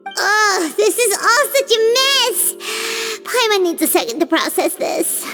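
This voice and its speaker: This is a tiny floating companion creature, with a very high, squeaky voice that bounces all over the place. She is chatty and excitable and keeps exclaiming. Faint game music plays underneath. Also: a little slow, exaggerated voice. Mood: puzzled. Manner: squeaky, exclamatory, talks about herself by name. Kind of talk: video game voice acting.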